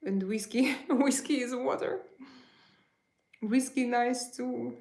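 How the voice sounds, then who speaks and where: A woman with a Russian accent hosts a relaxed livestream, speaking slowly with a gravelly edge to her voice. She chats warmly with her viewers, pausing often over her words. Her voice carries a roomy echo. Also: a little high. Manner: chatty, hesitant, greets and answers chat messages. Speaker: livestream host. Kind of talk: casual livestream chat with viewers.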